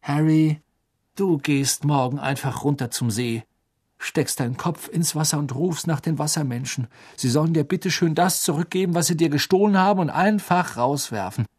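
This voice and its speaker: A man's voice: on the high side, moderate pace, darkish tone, breathy edge, voice pushed up. A man voicing a blunt, joking teenage wizard boy. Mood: mocking. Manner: blunt, offhand.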